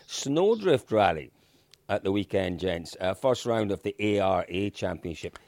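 A man's voice: low-pitched, lively intonation, a little mellow, dry recording. A middle-aged Scottish man from Dundee with a Dundee accent, hosting a casual rally podcast and steering the chat. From Scotland. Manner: chatty, leads the conversation, quick to agree. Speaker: podcast host.

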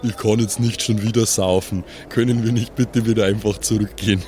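A man's voice: lowish voice, steady tone, fairly quick, a little mellow, a little hiss or hum, close to an everyday voice. A man playing a big, easygoing, protective peg-legged circus adventurer in an improvised game, talking colloquially and coaxingly. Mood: reluctant.

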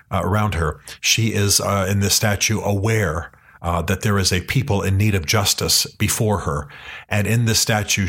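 Earnest, husky man. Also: lowish voice, a bit bright. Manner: earnest, emphatic, slows down to stress key points. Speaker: podcast host. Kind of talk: passionate podcast monologue. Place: his office.

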